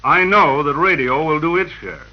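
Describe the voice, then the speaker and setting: A man's voice: fairly high pitch, normal speed, creaky, highs cut off. A man making a formal speech on an old 1940s radio broadcast.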